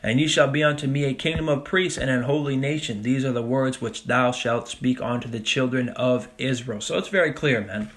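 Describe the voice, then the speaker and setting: A man teaching a Bible study, talking casually with frequent "all right?" and reading scripture passages slowly and deliberately. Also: medium pitch, steady tone, fairly bright tone. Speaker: religious teacher.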